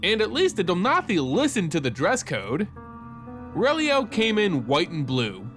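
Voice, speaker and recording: A man narrates a scripted fantasy story in a dramatic style. His voice is high for a man and very animated in pitch, over faint music. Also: unhurried.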